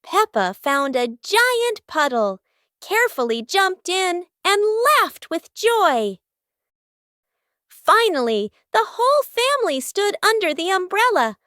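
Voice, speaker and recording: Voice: very high voice, sing-song, slow, sharp tone, dry recording, clean studio sound. A narrator reading a scripted children's cartoon story.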